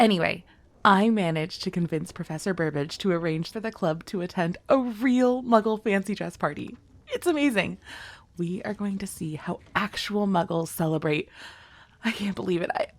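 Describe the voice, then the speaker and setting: A woman playing an excitable, bookish, friendly young witch student in a roleplay, chatty and earnest, speaking straight to the listener. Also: mid-range voice, creaky. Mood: flustered, earnest. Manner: chatty, rambling, giggly, earnest. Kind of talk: scripted one-sided roleplay addressed to the listener.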